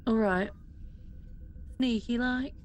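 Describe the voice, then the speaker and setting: A woman's voice: fairly high pitch, slow pace. A woman chatting casually and informally as a player in a tabletop role-playing game. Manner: informal, conversational. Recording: clear background noise.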